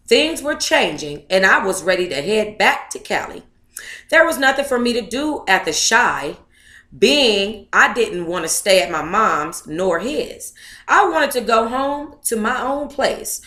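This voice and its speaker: Sassy, bright-voiced woman in an echoey room. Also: a little high, expressive, a little slow. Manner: sassy, blunt, profane, conversational. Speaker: book club host reading aloud and commenting. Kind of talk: casual read-aloud with running commentary.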